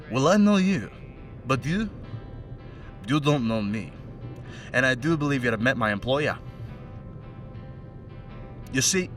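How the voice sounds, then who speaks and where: A man playing a mocking, menacing crime henchman with a put-on Scottish accent in an audio play monologue, chatty and sardonic with threatening pauses. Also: medium pitch, a bit bright, recorded from a distance. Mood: chatty menace. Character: menacing, mocking male crime henchman taunting a bound captive.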